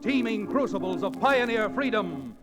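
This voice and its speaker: Man, vintage radio announcer, grand declamatory delivery, raised pitch, loud music behind. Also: lively intonation, normal speed. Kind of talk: dramatic old-time radio announcing. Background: loud theme music.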